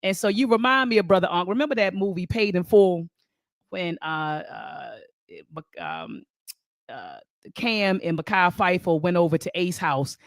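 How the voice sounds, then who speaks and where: A man hosting a live online talk show, speaking with a deep, raspy voice that is hoarse from a sore throat, in a folksy way that calls the viewers family. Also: sing-song, moderate pace, a bit bright, no room sound, clean studio sound. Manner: folksy, addresses the audience as family. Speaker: host. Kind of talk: casual live-stream chat.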